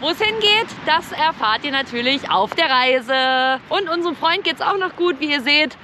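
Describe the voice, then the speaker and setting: A woman's voice: high-pitched, lively intonation, moderate pace, sharp tone. A woman narrating a casual camping vlog in a chatty, informal way, explaining as she goes. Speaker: camping vlogger. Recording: clearly noisy, outdoor and vehicle ambience.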